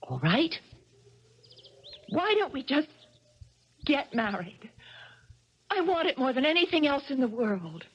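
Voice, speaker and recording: Troubled female tennis champion: very expressive pitch, 1970s radio drama, dull archival sound. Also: slow, long pauses, background noise, heavily acted. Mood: pleading. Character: dazed, despairing tennis champion recalling her life.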